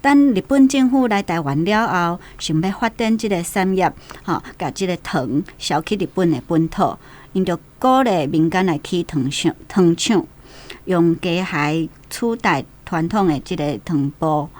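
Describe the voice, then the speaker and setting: A woman's voice: lowish voice, lively intonation, measured pace, darkish tone. A woman with a Taiwanese accent giving orderly but informal explanatory history commentary as a radio guest.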